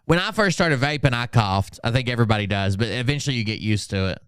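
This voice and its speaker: A man's voice: mid-range voice, expressive, fast talker, fairly bright tone, clean tone, no room sound, studio quality. A man hosting a livestream, giving mocking, sarcastic commentary on social media posts in casual, sweary chat. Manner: sarcastic, sweary, mock-dramatic when reading posts out. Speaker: livestream host.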